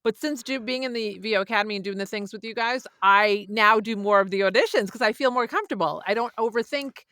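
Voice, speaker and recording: A middle-aged woman with a bright, crisp-edged voice hosts a casual chat. She is effusive and exclamatory, and she is recorded dry with no room sound. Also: mid-range voice, quick pace, no echo. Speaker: podcast host.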